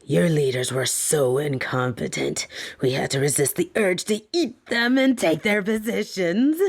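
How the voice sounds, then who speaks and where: A sadistic lamia, played by a woman in a fantasy audio roleplay, holds a captive and speaks as if reading a bedtime story. Her voice is low for a woman and very unhurried. Each phrase is deliberate and teasing, with soft menace. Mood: gloating, menacing. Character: sadistic female lamia, snake monster captor, softly menacing.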